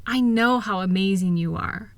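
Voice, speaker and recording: A woman playing a loving, reassuring wife in an intimate comfort roleplay, speaking in a gentle, soothing, affectionate way. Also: mid-range voice, normal speed, no room sound, close to an everyday voice. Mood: tender, reassuring. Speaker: audio roleplay voice performer.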